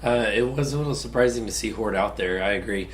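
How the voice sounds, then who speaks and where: Low, raspy man with a cold. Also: quick pace, slight background noise. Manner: relaxed, analytical, hesitant restarts. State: getting over a cold. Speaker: podcast co-host. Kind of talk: casual sports chat.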